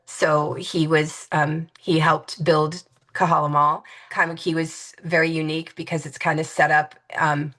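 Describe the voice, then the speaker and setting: Low-voiced woman from Hawaii, hesitant. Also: little pitch movement, measured pace, a bit bright. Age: 50s. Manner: hesitant, many fillers and restarts. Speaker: interview guest. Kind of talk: reflective conversational interview.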